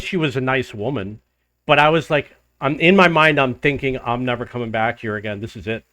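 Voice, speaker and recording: An older man, host of his own travel channel, telling a rambling personal story to the camera in a loud voice. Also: mid-range voice, dry recording. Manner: rambling, restarts and loops back in the story. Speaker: vlogger living abroad.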